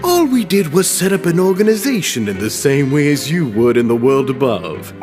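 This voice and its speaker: An idealistic club founder in a game cutscene, polite to the point of deference. His delivery is upbeat and lively, and his pitch rises and falls a lot as he grows keen. Faint music plays underneath. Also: moderate pace. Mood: earnest. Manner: deferential, upbeat and a little flowery. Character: earnest middle-aged male club founder, courteous and idealistic. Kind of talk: video game voice acting.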